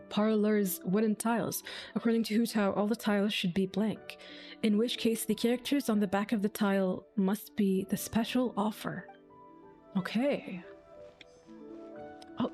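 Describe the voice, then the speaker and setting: A woman's voice: mid-range voice, mellow. A woman streaming a game, giving casual commentary as she reads and reacts to the story.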